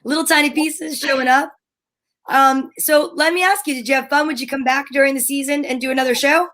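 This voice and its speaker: High-voiced middle-aged woman co-host. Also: fairly even intonation, fairly quick, fairly bright tone. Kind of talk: casual chat.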